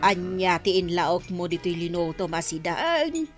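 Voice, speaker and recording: A woman's voice: lowish voice, sing-song, a little slow, over loud music. A woman playing a querulous elderly mother of a rural household in a radio drama, with an Ilocano accent. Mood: grumbling.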